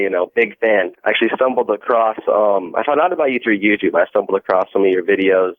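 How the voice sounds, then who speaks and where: An American man calling a radio show by phone, chatting casually and rambling his way toward the point. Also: lowish voice. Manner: rambling, circling before the point. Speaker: first-time radio caller. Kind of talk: casual chat with a radio host. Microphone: telephone. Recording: narrow, tinny phone line on radio.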